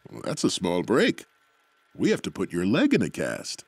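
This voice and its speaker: A man playing a calm, reassuring doctor in a children's cartoon. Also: deep voice, moderate pace, breathy edge, dry recording, full character voice.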